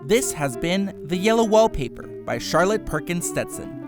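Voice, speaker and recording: A male announcer with a fairly high, lively-pitched voice reads scripted closing credits. Plucked guitar music plays clearly behind him. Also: moderate pace.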